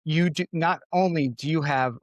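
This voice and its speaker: A man's voice: on the high side, normal speed, no room sound. A man from Connecticut hosting a podcast in casual chat, rambling with many fillers and restarts. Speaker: podcast host.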